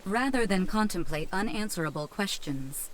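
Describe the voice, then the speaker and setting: A prim, bookish woman from a video game, voiced by an actress, speaks in stiff, wordy formality and sounds briskly practical. Her pitch is middling, with faint ambient noise. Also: lively intonation, lightly acted. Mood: stern, practical.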